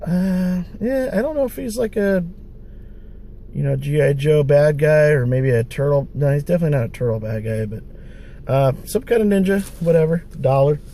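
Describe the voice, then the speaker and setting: A middle-aged man, hoarse from a cold, chatting casually with a raspy voice in a wry, rambling way as he vlogs his thrift-store hunt. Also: a little high, expressive, normal speed, dark tone, a little hiss or hum. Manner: wry, rambling, full of fillers. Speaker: thrift-hunting vlogger. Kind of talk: casual vlog chat. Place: outdoors by stores, street traffic.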